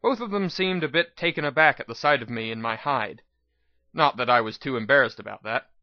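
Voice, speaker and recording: A man narrates a first-person story as a dramatic reading. His voice has a middle pitch, and the recording is very dry and slightly dull. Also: expressive, a little fast, no echo. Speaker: narrator, reader.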